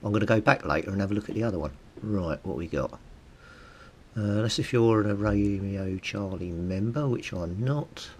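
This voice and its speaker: Low-voiced British man, casual and informal. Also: moderate pace. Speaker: hobbyist video host. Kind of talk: casual how-to vlog commentary, thinking aloud. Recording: steady background noise with low rumble.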